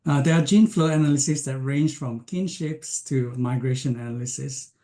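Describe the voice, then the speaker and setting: A man from Malaysia giving an online science lecture, speaking with frequent ums, pauses and restarts. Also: medium pitch, mellow. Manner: hesitant, many ums and restarts.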